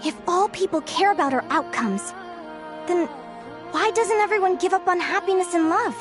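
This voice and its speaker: Perky high-voiced young adventurer girl. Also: moderate pace, music in the background. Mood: earnest, resolute. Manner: earnest, perky, expressive. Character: cheerful, determined young woman adventurer, optimistic and earnest. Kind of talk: video game voice acting.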